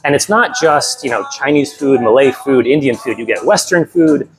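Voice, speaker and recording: A young American man, a guest talking about his move abroad in a lively, upbeat way with lots of fillers. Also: mid-range voice, normal speed. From United States. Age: young adult. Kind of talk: casual interview.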